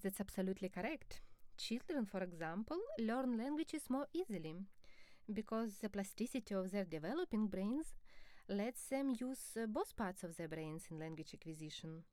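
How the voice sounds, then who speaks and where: A woman speaking as an expert co-presenter in a scripted educational dialogue, measured, formal and explanatory. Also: medium pitch, animated, dark tone, no echo, clean studio sound.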